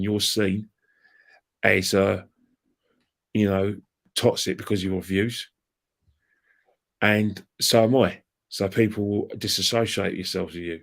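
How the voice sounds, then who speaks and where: A man's voice: on the low side, little pitch movement, unhurried, gravelly, pauses often. A man, ranting in a heated casual chat about football fandom and trading colourful insults. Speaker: fan channel guest.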